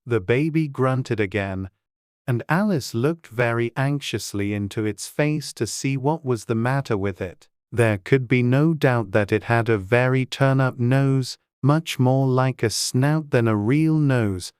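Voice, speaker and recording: A woman narrates a scripted storybook. Her voice is extremely low and her pace is slow and measured. The recording is dry, with no audible room. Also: a little mellow, clean tone, dry recording. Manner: measured, unhurried. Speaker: audiobook narrator.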